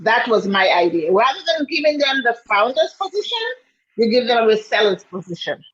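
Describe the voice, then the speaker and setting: Breathy woman on dull call audio. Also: a little high, sing-song, brisk, fairly bright tone. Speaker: call-in guest. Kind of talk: casual group call.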